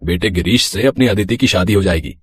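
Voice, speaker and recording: A man acts a practical middle-aged husband and father in a moral story cartoon. He is measured and reassuring, with a husky voice in a dry recording. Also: low voice, no echo. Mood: reassuring, explaining. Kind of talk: animated Hindi moral story dialogue.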